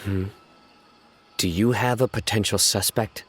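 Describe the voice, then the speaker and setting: Stern lawman, played by a man, very deep low voice, curt, formal, commanding, game character. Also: steady tone, a little slow, over music, natural voice. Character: stern young male lawman, grim and relentless. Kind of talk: video game voice acting.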